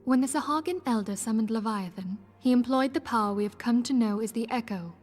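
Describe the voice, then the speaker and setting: A fairly high-pitched young noblewoman from a fantasy game, played by an actress. She speaks in formal, archaic phrases with a measured, thoughtful composure. Soft music sits behind her voice. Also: natural voice. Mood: earnest, reflective. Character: noble, composed, thoughtful young female scholar-leader of mystics. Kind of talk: scripted fantasy game dialogue.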